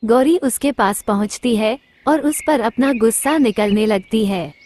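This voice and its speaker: A woman's voice: a little high, measured pace, darkish tone, slight background noise. A woman narrating a simple children's cartoon story.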